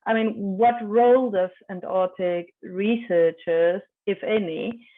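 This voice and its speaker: A woman's voice: mid-range voice, takes it slowly. Woman on a tinny call. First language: Afrikaans. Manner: thinking aloud, hesitant with repeated starts. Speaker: panelist. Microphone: video call. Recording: tinny video call audio.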